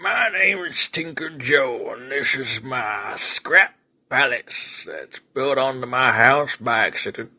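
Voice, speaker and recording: A man's voice: medium pitch, lively intonation, takes it slowly, pushed and bright, breathy edge, heavily acted. A man playing an older eccentric junk hoarder, earnestly rambling as he proudly presents oddities.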